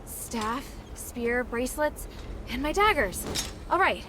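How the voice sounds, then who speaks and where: A woman's voice: high voice, expressive, slow pace, noisy background. A woman voicing a determined young female adventurer in a video game, musing expressively to herself. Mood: curious, relieved. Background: music.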